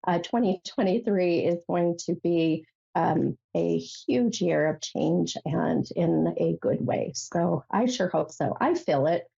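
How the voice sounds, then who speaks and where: A woman hosts a tarot call-in show, rambling warmly with lots of ums and uhs. Her voice is low, mellow and gravelly, with a nasal sound from a head cold. The recording is dull and lo-fi. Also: takes it slowly. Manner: chatty, rambling with many fillers. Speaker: psychic radio host. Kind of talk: casual tarot call-in talk.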